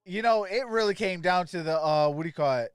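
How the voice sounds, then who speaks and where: A man's voice: high-pitched, brisk, clear, resonant tone, dry recording, clean studio sound. A man hosting a live sports stream, calling plays casually and chatting informally with viewers. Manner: informal, addressing viewers by name. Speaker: livestream host doing play-by-play.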